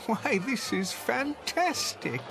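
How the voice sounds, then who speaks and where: An actor in a radio drama playing a bewildered older husband who pleads with desperate earnestness, with music behind him. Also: male voice, very high voice, big pitch swings, slow, vocal fry, noisy background, exaggerated voice. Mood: amazed. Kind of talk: audio drama acting.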